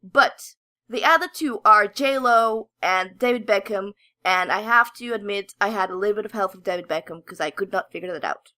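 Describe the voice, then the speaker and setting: A woman's voice: medium pitch, moderate pace, pushed and bright. A woman co-hosting a podcast with casual, playful, teasing banter. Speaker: podcast co-host.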